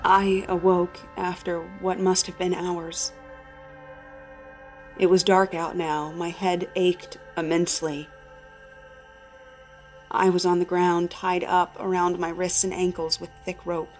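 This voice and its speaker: Low-pitched woman narrating horror over music. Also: level tone, slow pace, pauses often. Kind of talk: dramatic first-person horror narration.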